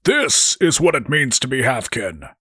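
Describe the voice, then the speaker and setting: A man's voice: mid-range voice, very animated, unhurried, sharp tone, breathy edge, no echo, clean studio sound, pitched above the performer's own voice, full character voice. A man voicing a brash, greedy young halfkin brother who talks in a blunt, scolding way. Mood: defiant. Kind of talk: audiobook character voice.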